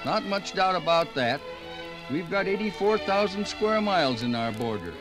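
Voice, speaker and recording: Folksy, lively American radio narrator. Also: male voice, high-pitched, moderate pace, music in the background, rough recording. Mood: easygoing, folksy. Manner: folksy, conversational, homespun. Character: folksy plain-spoken Minnesotan man narrating his state's story.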